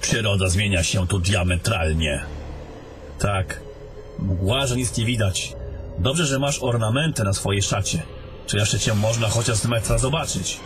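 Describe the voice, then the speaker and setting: A man's voice: on the low side, unhurried, over music, natural voice. A man playing a travelling adventurer in a fantasy audio drama. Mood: uneasy. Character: fantasy adventurers, gruff travelling men and a mage.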